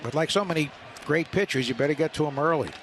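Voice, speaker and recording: A man's voice: fairly high pitch, fast, fairly bright tone, slight background noise. A man adding commentary during a live baseball radio broadcast.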